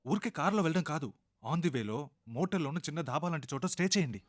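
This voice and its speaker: Cheeky sleazy buddy, wildly swooping pitch. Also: male voice, animated, fast talker, no echo, clean studio sound. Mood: sly, suggestive. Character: cheeky, sleazy young buddy giving romance tips. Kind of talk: comic film dialogue.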